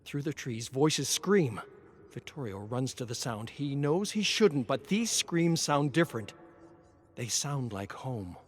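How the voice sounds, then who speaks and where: Male narrator, mid-range voice, unhurried and theatrical, for dramatic storytelling over background ambience. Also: medium pitch, lively intonation, slight background noise. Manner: theatrical, deliberate, swinging between hushed and intense.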